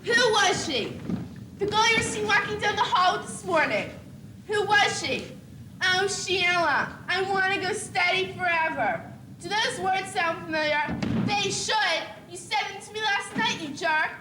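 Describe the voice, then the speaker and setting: A jilted teenage girlfriend played by a young woman makes indignant, furious accusations on an amateur stage. The voice is extremely high and echoey, with clear tape noise. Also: animated, measured pace. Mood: furious accusing. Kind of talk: amateur stage comedy monologue. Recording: echoey noisy 1980s stage videotape.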